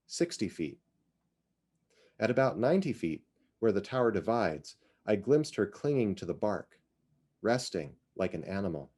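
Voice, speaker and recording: A man's voice: lowish voice, darkish tone. A man giving a dramatic reading of literary fiction, narrating in the first person. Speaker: reader of fiction.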